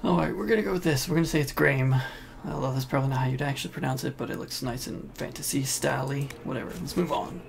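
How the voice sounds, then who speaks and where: A man's voice: medium pitch, fairly even intonation, quick pace, gravelly. A man hosting a casual let's play, commenting in an offhand, flippant way. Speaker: gaming host. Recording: noisy voice-over mixed with game sound. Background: video game sound.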